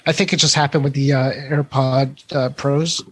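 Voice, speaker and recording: A man's voice: medium pitch, flat delivery, moderate pace. A man co-hosting a tech podcast, chatting casually and hesitantly as he thinks aloud. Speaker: podcast co-host.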